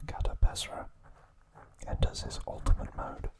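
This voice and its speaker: A man narrating in a soft, unvoiced ASMR whisper, recapping a story with frequent hesitant pauses. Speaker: ASMR channel host.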